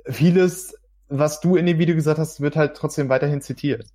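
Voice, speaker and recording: A man's voice: on the high side, steady tone, brisk, dark tone. A man talking casually as a participant in a podcast. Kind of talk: casual chat.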